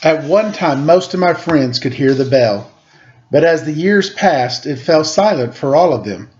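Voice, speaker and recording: A man's voice: a little high, animated, measured pace, a little mellow, lo-fi sound. A man reading a children's picture book aloud as a storyteller. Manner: acts out quoted dialogue.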